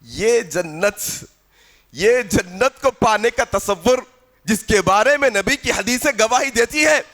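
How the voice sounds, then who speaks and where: A man preaching an impassioned religious sermon, exhorting with repeated phrases, swinging between loud, chant-like proclamation and hushed exclamations. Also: sits high, lively intonation.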